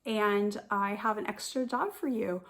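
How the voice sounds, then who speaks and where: A woman kindergarten teacher reading a picture book aloud to young children in a simple, expressive way, speaking to them directly. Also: medium pitch, a little slow. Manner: addresses children directly with questions and prompts.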